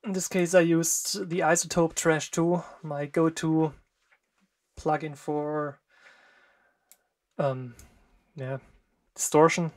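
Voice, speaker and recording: A music producer from Germany, a native German speaker with a German accent, talks through a screen-recorded walkthrough in a hesitant, halting way with many fillers and short pauses. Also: medium pitch, fairly even intonation, darkish tone.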